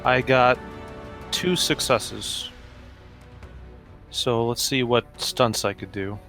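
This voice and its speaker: A man's voice: mid-range voice, steady tone, takes it slowly, pushed and bright, faint music behind. A man playing a tabletop game, chatting casually and thinking tactics through aloud.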